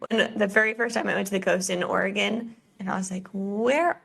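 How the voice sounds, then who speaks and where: A woman hosts a casual podcast in an upbeat, welcoming way. Her voice carries a noticeable gravelly fry. She keeps the talk conversational and easy. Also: medium pitch, brisk, a bit bright. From Florida. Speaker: podcast host.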